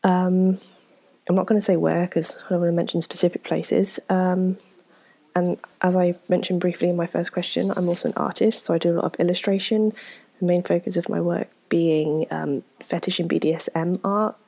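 A woman, answering viewer questions in a frank, self-deprecating, rambling way, full of ums and soft whispered asides. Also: on the low side, flat delivery, moderate pace, creaky. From England. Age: 20s. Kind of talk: casual Q&A chat to camera.

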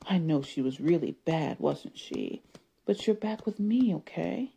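A woman voicing a possessive, domineering wife in a solo audio roleplay, sultry and moving between whispers and loud declarations. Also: low voice, lively intonation, unhurried, vocal fry, slightly rough, full character voice. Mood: teasing, whispery.

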